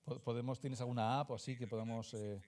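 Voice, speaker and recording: A man's voice: mid-range voice, fairly even intonation, rapid-fire, darkish tone. A man hosting a stage conversation, posing questions hesitantly with fillers and restarts. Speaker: conversation host, interviewer. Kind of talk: stage interview.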